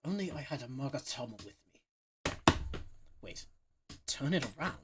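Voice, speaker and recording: A performer playing a proud, eloquent male prosecutor in a game fan dub, formal, deliberate and condescending. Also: mid-range voice, lively intonation, noisy background, pitched below the performer's own voice, close to an everyday voice. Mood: pondering, determined.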